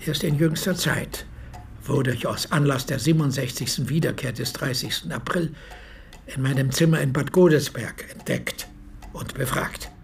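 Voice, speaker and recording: Radio drama: male actor as solemn veteran medic in his eighties, creaky mid-pitched voice, exact, formal eyewitness testimony. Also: slight background noise, natural voice. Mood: earnest, precise. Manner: measured, precise, formal storytelling. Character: 86-year-old former army medic, solemn precise eyewitness. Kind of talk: radio play monologue, reminiscence.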